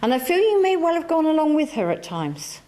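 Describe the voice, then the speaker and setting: A woman's voice: sits high, sing-song, brisk, noisy background. A woman working as a medium, talking to a church audience in a chatty, coaxing way that circles back and repeats itself. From England. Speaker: spiritualist medium. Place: church hall.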